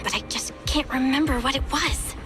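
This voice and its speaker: A woman's voice: high-pitched, moderate pace, lightly acted. An actress playing a sensitive young woman caught in a nightmare, hesitant and emotional, in dramatic video game style. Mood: confused, wistful. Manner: hesitant, emotional, breaking into anguished pleas. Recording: loud music under the voice.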